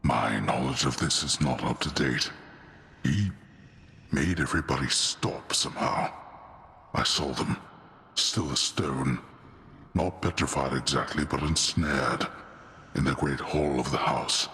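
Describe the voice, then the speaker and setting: An extremely deep, forceful male voice for a grim underworld god in a game. He is stern, formal and sardonic, heard in long hall reverb with noise behind. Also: measured pace, pauses often, full character voice. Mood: grave, measured. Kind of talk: dramatic video game dialogue.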